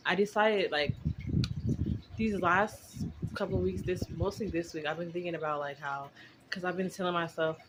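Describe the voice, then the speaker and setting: A woman in her twenties chatting casually and candidly to her viewers, rambling in a conversational vlog style. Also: low-pitched. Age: 20s. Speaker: vlogger. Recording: very noisy recording with clicks.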